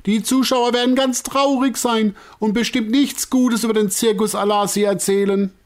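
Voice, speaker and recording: A man voicing a panicky male circus director in a storybook, with a high, strained, lamenting voice. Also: fairly even intonation, moderate pace. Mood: distraught. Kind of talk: storybook character voice.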